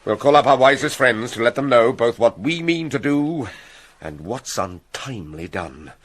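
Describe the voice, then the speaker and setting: Husky, declamatory British king. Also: mid-range voice, animated, normal speed, fairly bright tone. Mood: troubled. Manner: grave, declamatory, urgent. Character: Claudius, troubled, calculating, commanding king. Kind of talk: classical Shakespearean radio drama acting.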